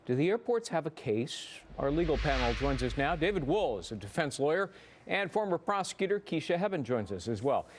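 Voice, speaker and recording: A man's voice: on the high side, big pitch swings, fairly quick, background noise. A man with an American accent presenting broadcast news and moderating a panel discussion as anchor. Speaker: news anchor and legal segment host.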